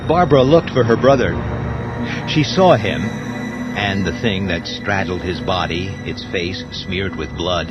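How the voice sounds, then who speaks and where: A man narrating a horror radio play in an ominous, dramatic way with deliberate phrasing. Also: deep voice, lively intonation. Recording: dull lo-fi, loud scary music.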